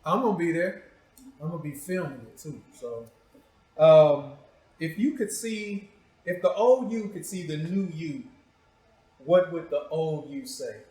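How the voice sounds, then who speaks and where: High-voiced American man hosting in echoey room. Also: expressive, measured pace, long pauses, mellow. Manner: teasing, provocative, slangy. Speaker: show host interviewer. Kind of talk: casual, joking interview.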